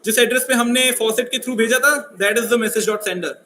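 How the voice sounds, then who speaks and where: A man running a live coding workshop, speaking loudly and asking his audience questions. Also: very high voice, racing, reverberant, slight background noise. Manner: loud voice, asks the audience questions. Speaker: workshop instructor. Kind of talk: live coding workshop, mixing English and Hindi.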